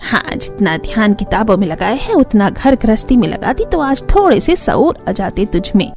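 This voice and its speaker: A woman playing a sharp-tongued, scornful old grandmother in a family cartoon, nagging and taunting. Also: medium pitch, very animated, moderate pace, soft background music. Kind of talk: Hindi cartoon family drama acting.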